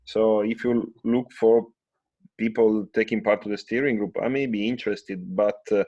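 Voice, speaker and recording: A man with a lowish, even voice talks through muffled conference-call audio. His phrasing is deliberate and halting, as a participant putting questions in a meeting. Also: no echo. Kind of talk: meeting discussion.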